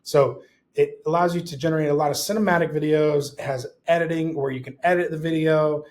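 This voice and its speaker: A man's voice: fairly high pitch, fairly even intonation, a little fast, a little mellow. A man presenting a casual, explanatory tutorial as an instructor, posing short rhetorical questions as he goes.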